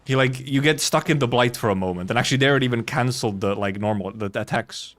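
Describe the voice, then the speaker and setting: A man streams a game with casual, off-the-cuff commentary. His talk rambles along, correcting itself and wandering between thoughts, with a mid-pitched voice. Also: fast, fairly bright tone. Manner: rambling, loosely self-correcting, casual swearing. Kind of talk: casual live gameplay commentary.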